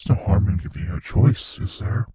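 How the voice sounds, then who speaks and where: A man playing a gleefully cruel monster from under the bed in an intimate ASMR audio roleplay, taunting and menacing in a breathy, whispery voice. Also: lowish voice, mellow, no room sound. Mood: mocking, playful menace. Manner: slow, taunting, menacing, savoring words.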